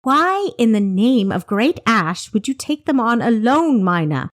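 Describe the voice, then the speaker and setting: A woman's voice: on the high side, expressive, a little slow, darkish tone, voice pushed up. A woman voicing a stern, suspicious, fussy male ship's officer with a demanding, pointed manner in an audiobook. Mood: exasperated. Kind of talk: audiobook character voice.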